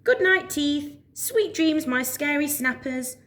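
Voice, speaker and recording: A woman voicing a big, boastful male alligator in a storybook, with theatrical, drawn-out, exclaiming delivery. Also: sits high, fairly bright tone, a little hiss or hum, pitched above the performer's own voice. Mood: fond. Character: big scary boastful male alligator, dramatic and easily upset.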